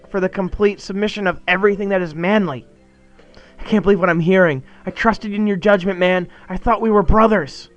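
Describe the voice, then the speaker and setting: A man voicing a paranoid, panicky young male shut-in who rants frantically. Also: fairly bright tone. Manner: frantic, shrill ranting.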